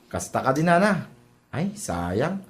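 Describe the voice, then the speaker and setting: A man's voice: a little high, sing-song, slow pace. A man playing a polite, disappointed young suitor in an Ilocano comedy drama. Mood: let down.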